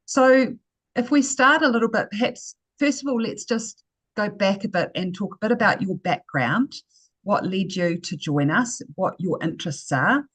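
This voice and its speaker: A woman's voice: on the low side, a bit bright, breathy edge, no room sound. New woman hosting an interview. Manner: enthusiastic, fillers, tag questions. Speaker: host. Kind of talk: casual interview.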